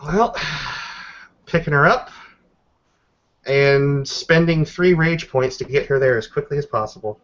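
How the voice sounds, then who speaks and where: A man's voice: fairly high pitch, measured pace, a bit bright. A man playing a tabletop roleplaying game, narrating his character's actions in casual talk. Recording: dull, lo-fi voice recording.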